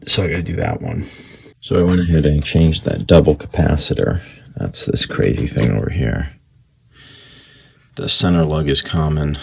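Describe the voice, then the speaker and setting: Deep, gravelly man muttering over a repair. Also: a little hiss or hum. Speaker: antique radio repairer, video host. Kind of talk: casual unscripted repair commentary.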